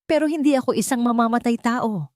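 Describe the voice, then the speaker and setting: A woman's voice: on the high side, animated, fairly quick, darkish tone, no echo, studio quality, pitched above the performer's own voice, close to an everyday voice. A woman voicing a brave young housemaid who firmly confronts her employer's wife. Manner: firm, accusing.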